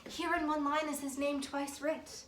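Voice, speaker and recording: A woman's voice: high voice, level tone, normal speed, fairly bright tone. A woman acting a passionate, lovesick young noblewoman in a theatrical Shakespearean stage monologue, dramatic and emphatic, lingering on words. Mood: tender, rueful. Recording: roomy, distant mic, faint background noise.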